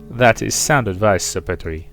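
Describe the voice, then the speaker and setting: A man voicing a kindly, courteous young marquis in a visual novel, measured and gracious. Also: fairly low pitch, vocal fry, a bit bright, soft background music. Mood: wistful, courteous.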